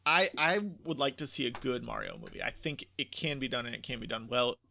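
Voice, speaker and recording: Gravelly, bright-voiced man on a podcast. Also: mid-range voice, a little fast, muffled recording, slight background noise. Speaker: podcast co-host. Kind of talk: casual opinionated podcast chat.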